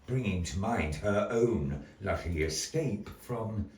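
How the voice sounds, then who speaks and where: A man telling a dark fairy tale live in a florid, dramatic manner, with deliberate pauses. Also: lowish voice, expressive. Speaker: storyteller in a puppet show. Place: indoor gallery performance space. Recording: echoey room, distant mic.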